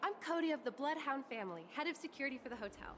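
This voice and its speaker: A woman's voice: high-pitched, lively intonation, very fast, noisy background. A woman voicing a composed head of hotel security in a game, formal and careful. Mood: guarded. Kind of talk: video game voice acting. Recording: game dialogue under loud music.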